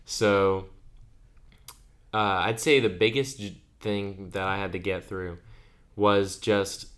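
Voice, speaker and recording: Young man, eighteen, very low voice, chatty podcast co-host, laughs through his stories. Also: steady tone, slow pace, fairly bright tone. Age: under 20. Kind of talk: casual chat.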